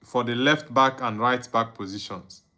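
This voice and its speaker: A man's voice: mid-range voice, normal speed, bright, forceful tone. A young man from Nigeria hosting a live football watchalong, chatting casually, reading viewer comments aloud and humming along. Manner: reads viewer comments aloud, chuckles, hums and sings under his breath. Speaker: watchalong streamer.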